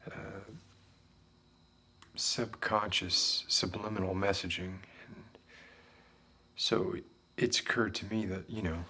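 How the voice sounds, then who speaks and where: A man playing a world-weary, philosophical former detective with a Southern American accent, musing haltingly in an ASMR roleplay monologue. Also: deep voice, steady tone, bright, forceful tone, husky, exaggerated voice. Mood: brooding, philosophical. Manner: deliberate, halting, musing, intellectual.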